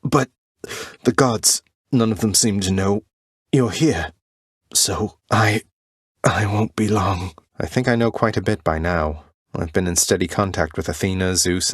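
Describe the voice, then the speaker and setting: A man playing an earnest, defiant young prince of the underworld in a video game, speaking in formal, old-fashioned wording with halting, heartfelt delivery. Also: sits low, dry recording, studio quality. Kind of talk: video game character dialogue, dramatic acting.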